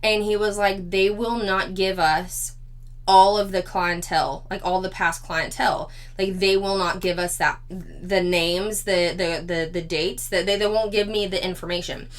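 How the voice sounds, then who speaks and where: A slightly hoarse woman, chatting casually as a commentary vlog host who laughs often. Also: mid-range voice, steady tone, a little slow. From Four-State area of Missouri, Arkansas, Kansas, Oklahoma.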